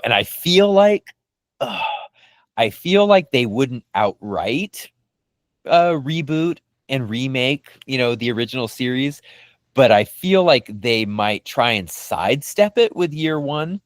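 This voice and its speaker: A man's voice: lowish voice, dry recording. A man hosting a podcast in casual fan discussion, talking in a hesitant, meandering way. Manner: hesitant, meandering, hedging delivery. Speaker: podcast host.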